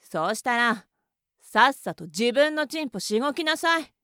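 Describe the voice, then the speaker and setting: A woman's voice: fairly high pitch, expressive, moderate pace, sharp tone, no echo, clean studio sound. A woman acting a contemptuous, domineering young woman in an adult audio roleplay, mocking and bossy. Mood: scornful.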